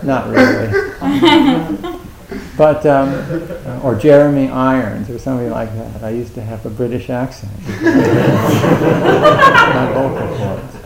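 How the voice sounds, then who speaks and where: A man giving a dharma talk as a Zen teacher, speaking haltingly with many restarts and a wry, self-mocking humor. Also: mid-range voice, steady tone, creaky, reverberant, a loud crowd, poor audio. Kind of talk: dharma talk at a meditation retreat.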